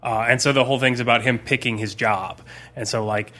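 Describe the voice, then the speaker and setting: A man's voice: mid-range voice, steady tone, a little fast, bright, forceful tone. A man co-hosting a podcast, talking in a casual, conversational way. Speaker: podcast co-host; school teacher. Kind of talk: casual chat, recommending books.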